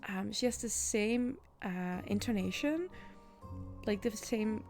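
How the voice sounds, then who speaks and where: A woman's voice: medium pitch, expressive, slow pace, darkish tone, faint music behind. A young woman with a Dutch accent, streaming a game and chatting casually with her viewers, often restarting sentences. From Netherlands. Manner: chatty, answers chat, frequent laughs and restarts. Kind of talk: casual chat with viewers while gaming.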